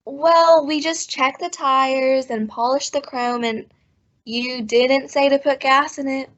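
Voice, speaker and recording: A muffled recording carries the teenage daughter of a radio comedy family played by a woman. She has a high voice and a pert, defensive, matter-of-fact way of answering. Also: fairly even intonation, takes it slowly, fairly bright tone. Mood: innocent, defensive. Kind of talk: old-time radio sitcom acting. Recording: muffled recording with vehicle sounds.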